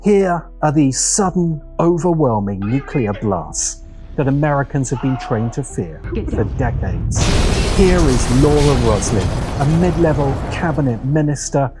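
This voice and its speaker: A man narrating a scripted video essay with dramatic, deliberate phrasing and weighty pauses. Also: mid-range voice, animated. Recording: music behind the voice. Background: music.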